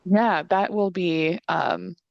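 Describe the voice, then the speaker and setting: A woman's voice: fairly low pitch, fairly even intonation, slow, creaky, no echo, muffled recording. A young woman hosting a casual interview over a video call on a headset. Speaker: interviewer, show producer. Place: indoor room, on a video call.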